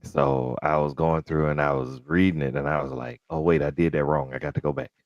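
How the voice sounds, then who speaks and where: Man, very low voice, dry close sound, crisp careful diction. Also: expressive, a little fast, no echo, highs cut off. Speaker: podcast host. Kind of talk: casual interview chat.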